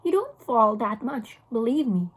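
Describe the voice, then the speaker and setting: Woman as a patient, high-voiced schoolboy older brother. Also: very animated, measured pace, breathy edge, heavily acted. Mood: reassuring.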